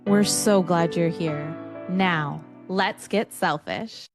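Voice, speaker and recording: A woman reading a scripted podcast introduction in a slow, polished way. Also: fairly low pitch. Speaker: podcast host. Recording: voice over loud music.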